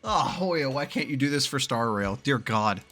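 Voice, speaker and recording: A man giving casual, animated gameplay commentary as a streamer, swearing freely as he reacts. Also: medium pitch, normal speed, faint music behind. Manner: animated, profane, reads game dialogue aloud.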